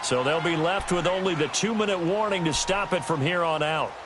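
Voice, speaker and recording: A man's voice: fairly high pitch, a little fast, background noise, natural voice. A man with an American accent doing play-by-play commentary as a football announcer in a video game. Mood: measured, focused.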